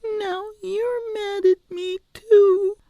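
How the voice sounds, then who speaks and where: A woman voicing an earnest, stubborn eight-year-old girl with a small, breathy voice, in an audiobook story. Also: extremely high pitch, fairly even intonation, drawn-out words, mellow, dry recording, dull audio, pitched above the performer's own voice, full character voice. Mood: tearful, whimpering. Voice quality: small, breathy, often whispering. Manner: earnest, insistent, plaintive.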